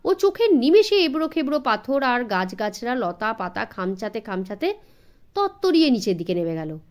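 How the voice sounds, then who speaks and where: Lively, higher-pitched woman storyteller. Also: moderate pace. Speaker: audiobook narrator. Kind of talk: expressive scripted story narration for children.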